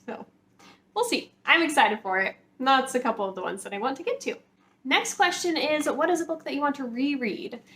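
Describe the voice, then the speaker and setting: A woman's voice: sits high, animated, brisk, a bit bright, a little hiss or hum. A woman hosting a casual, chatty book vlog, talking informally to viewers with rambling, hedging asides. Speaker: book vlogger, channel host.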